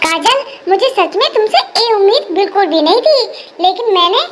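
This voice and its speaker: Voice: very high-pitched, expressive, normal speed, background noise. A performer voicing an indignant, dutiful grown son who scolds in defence of his mother in a cartoon family melodrama. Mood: angry, accusing. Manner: scolding, accusatory, protective of mother.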